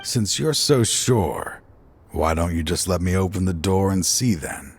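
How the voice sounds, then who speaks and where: A man's voice: sits low, expressive, a little slow, a little hiss or hum. An actor playing a bitter, regretful middle-aged former lawman turned video game villain, with weary, brooding delivery. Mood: sly longing. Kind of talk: dramatic video game voice acting.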